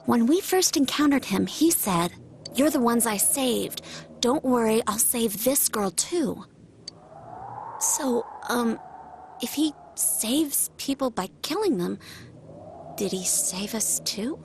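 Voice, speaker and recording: Casting: earnest game schoolgirl, fairly high voice, tentative and thoughtful, slight noise behind. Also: expressive, takes it slowly. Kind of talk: video game English dub acting.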